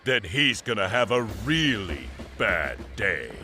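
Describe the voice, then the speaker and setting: An actor playing a fierce, hot-blooded hero who shouts defiance in a video game cutscene. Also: male voice, fairly low pitch, big pitch swings, takes it slowly, faint music behind, full character voice. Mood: grim.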